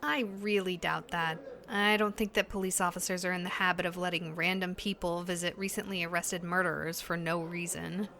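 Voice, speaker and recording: A woman's voice: fairly low pitch, fairly even intonation, a little fast, hardly any pauses, sharp tone, slight background noise. An actress playing an uneasy but determined young woman in an audio drama, speaking in a hesitant, earnest, questioning way. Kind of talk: naturalistic audio drama dialogue.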